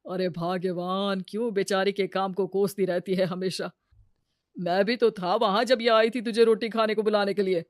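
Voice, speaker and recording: Kindly older family patriarch acted by a woman: gentle, soft-tempered, pitched down, dry recording, comedy story. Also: fairly even intonation, normal speed, dry recording, close to an everyday voice. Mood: mild reproach. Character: mild, kindly older father-in-law defending his daughter-in-law.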